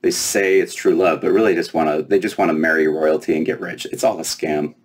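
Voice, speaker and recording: A man's voice: extremely low pitch, fairly even intonation, brisk, slightly rough. A man reading a children's storybook, voicing a small, proud, teasing fairy princess who is breezy and self-important. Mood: curious, pushy. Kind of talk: children's storybook read aloud.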